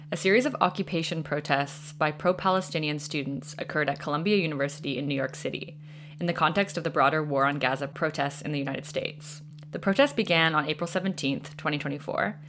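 A woman's voice: low voice, fairly bright tone, slight background noise. A woman from Canada, a radio co-host, reading a scripted introduction and narration.

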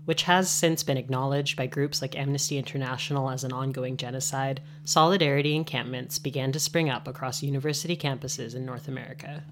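A woman reading scripted radio narration in an even, informative manner. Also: extremely low pitch, brisk, hardly any pauses, a little hiss or hum.

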